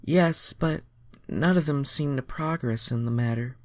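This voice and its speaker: A man voicing an earnest, naive young alien woman in falsetto, with stilted formal phrasing and a Southern US twang. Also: measured pace, fairly bright tone, no room sound, clean studio sound, close to an everyday voice. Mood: wistful, earnest.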